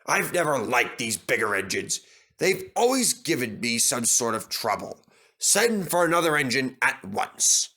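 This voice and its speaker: A man's voice: mid-range voice, sing-song, a little slow, pushed and bright, exaggerated voice. A man playing a stern, authoritative railway boss in a storybook, giving formal orders and requests. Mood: annoyed.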